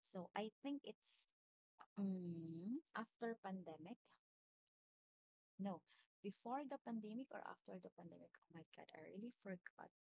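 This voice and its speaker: Slow-talking Filipino-accented young woman. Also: medium pitch, gravelly, darkish tone, no echo, poor audio. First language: Tagalog. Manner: halting, many fillers, switches into Tagalog. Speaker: vlogger. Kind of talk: casual personal story.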